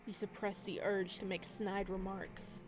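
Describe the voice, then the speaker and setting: A woman's voice: medium pitch, level tone, measured pace, over music. A woman hosting a gaming video, reading visual novel narration aloud. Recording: some background noise under voice.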